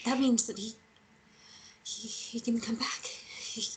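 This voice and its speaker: A woman plays a lovesick, fragile young woman who challenges and presses. Her voice is fairly high and emotional, in a romance drama recorded with noticeable background noise. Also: steady tone. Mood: shaken, hopeful. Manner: pressing, emotional, halting when shaken. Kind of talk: dramatic table read of a romance screenplay. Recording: clear background noise and ambience.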